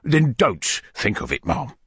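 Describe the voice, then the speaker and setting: A man's voice: mid-range voice, sing-song, a bit bright, slightly rough, dry recording, clean studio sound, heavily acted. A man voicing a pompous, unctuous parish official with a tinge, in a storybook. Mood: soothing. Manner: pompous, slow, soothing. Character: pompous, unctuous parish beadle courting a matron. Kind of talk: dramatised audiobook character voice.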